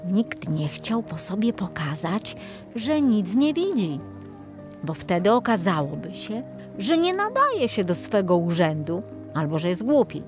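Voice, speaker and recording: A woman narrates a children's fairy tale at a slow, measured pace. Her delivery is expressive, in the manner of a storyteller, and there is some music in the recording. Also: medium pitch. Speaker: audiobook narrator.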